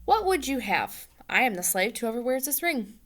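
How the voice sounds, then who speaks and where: A woman's voice: fairly high pitch, sing-song, normal speed, fairly bright tone. A woman voicing an obedient genie in a storybook, speaking in a formal, declaring manner. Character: obedient genie of lamp and ring, formal servant.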